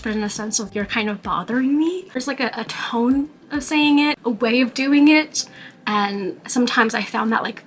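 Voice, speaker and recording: A young woman chats to her camera in a relaxed, loose vlog style. Her voice sits a bit higher than most, and her sentences run on with plenty of "like" and "you know." She sounds easygoing and conversational. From New York. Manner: chatty, with frequent "like" and "you know" fillers. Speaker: vlogger. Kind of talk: casual talking-to-camera vlog.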